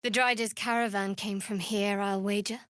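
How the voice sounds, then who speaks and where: An actress playing a guarded, devout young woman cleric of a dark goddess in a fantasy video game, with a measured, wry delivery. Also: mid-range voice, fairly even intonation, no echo, clean studio sound, lightly acted. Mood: guarded, composed.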